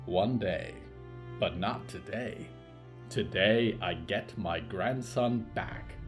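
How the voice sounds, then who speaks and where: A man's voice: fairly low pitch, takes it slowly, faint music behind, voice pushed down. A man voicing a stubborn, determined old grandmother in an audio drama, speaking curtly. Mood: grim resolve. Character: Zelda, stubborn gun-toting old grandmother.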